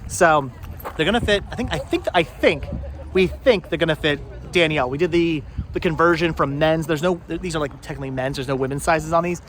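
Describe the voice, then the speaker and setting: A man's voice: on the high side, a little fast, a bit bright. A man chatting casually as he hosts a vlog, rambling and often repeating and restarting his phrases. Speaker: vlogger hosting a flea market thrifting video. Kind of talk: casual unscripted vlog chat to camera and companions. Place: outdoors at a busy flea market. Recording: noisy outdoor recording with vehicle ambience. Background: outdoor market ambience and vehicles.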